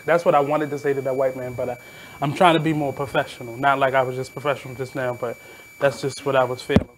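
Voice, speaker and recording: A man in his thirties from Baltimore with a naturally high voice, hosting his radio show in a candid, blunt, reflective monologue. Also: little pitch movement, fast talker, a little hiss or hum, rough recording. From Baltimore, USA. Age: 30s. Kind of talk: casual monologue.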